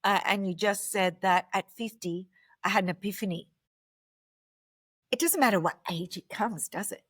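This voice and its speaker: An Australian woman hosts a relaxed interview, gushing with eager enthusiasm. Her voice sits at a middle pitch and sounds close and dry. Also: moderate pace, no echo. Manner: effusive, enthusiastic. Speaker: podcast host. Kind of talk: casual interview conversation.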